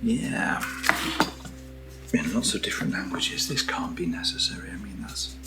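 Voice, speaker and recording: A soft-spoken British man chatting through an ASMR unboxing in a breathy murmur that often drops near a whisper, with gently playful asides. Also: low-pitched, moderate pace, over loud music. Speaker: ASMR product reviewer.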